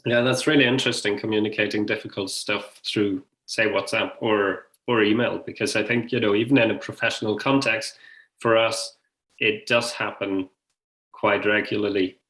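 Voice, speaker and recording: A man's voice: fairly low pitch, steady tone, normal speed, a bit bright, poor audio. A man hosting an online group discussion, speaking in a tentative, inviting way. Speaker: webinar host and facilitator. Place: online video call.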